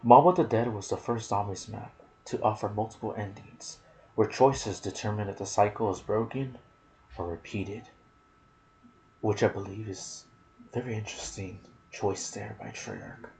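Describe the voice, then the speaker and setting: Man, low-pitched, vocal fry, soft-spoken ASMR gaming commentary, whispery, halting phrases, light background noise. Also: steady tone, low-quality recording. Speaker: gaming channel host. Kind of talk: ASMR game lore commentary.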